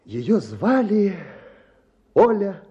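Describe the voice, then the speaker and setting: An actor playing a pompous, self-styled writer holding forth to a bachelors' club, declaiming grandly in a radio play. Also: male voice, high voice, sing-song, very slow, warm tone, heavily acted. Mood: boastful. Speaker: speaker at a bachelors' club meeting. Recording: slightly dull radio recording, crowd murmur. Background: murmuring male audience.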